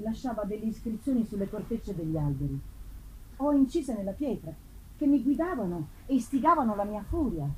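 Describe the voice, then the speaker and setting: A woman's voice: medium pitch, close to an everyday voice. A woman reading a gothic novel theatrically as an anguished man bent on revenge, in a solemn manner, on a noisy live recording. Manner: solemn, theatrical, swinging from hushed to declamatory. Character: anguished male avenger narrating his tragic hunt.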